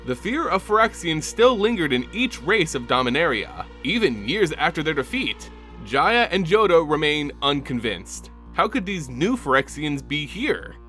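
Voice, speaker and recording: A man narrating a fantasy game's story as a dramatic lore reading, in a lively storytelling manner. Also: on the high side. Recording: music bed underneath.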